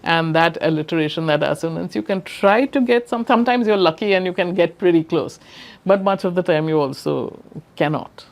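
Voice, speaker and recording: An older woman speaking English with an Indian accent, her voice sitting a little low. She talks as an interview guest in a reflective, conversational way. She is emphatic, often restarts her phrases and laughs softly. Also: fairly quick, gravelly. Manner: thoughtful, emphatic, frequent restarts and soft laughs. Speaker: interview guest, professor emerita.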